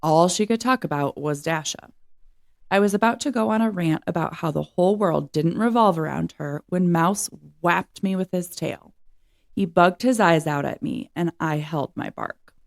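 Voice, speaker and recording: A woman's voice: on the low side, normal speed, creaky. A woman reading a children's story aloud as narrator. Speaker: reader of a children's book.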